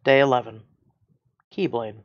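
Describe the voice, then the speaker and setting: A man reading scripted story diary entries as a narrator, in a measured, formal manner. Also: mid-range voice, steady tone, highs cut off. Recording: loud music behind.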